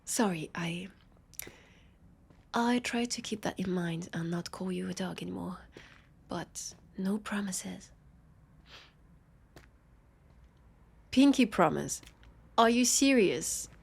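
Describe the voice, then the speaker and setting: A woman playing a teasing, haughty vampire detective with a French accent, in an intimate roleplay audio drama, her dry playful lines spaced with lingering pauses. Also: lowish voice, lively intonation, full character voice. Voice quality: husky, breathy with creaky edges. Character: teasing, haughty female vampire detective, sardonic new partner.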